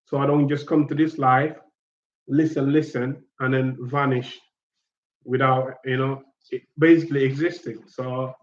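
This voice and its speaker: A man's voice: medium pitch, level tone, unhurried, roomy sound, lo-fi sound. A man from Guinea-Bissau hosting a casual online chat, talking in a hesitant, reflective way and repeating words as he searches for them.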